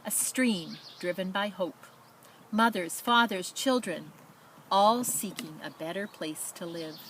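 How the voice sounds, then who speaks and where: Lady reading a kids picture book out loud, measured n expressive, voice a bit low, some noise behind her. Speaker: storybook reader. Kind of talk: read-aloud of a children's picture book with spoken asides.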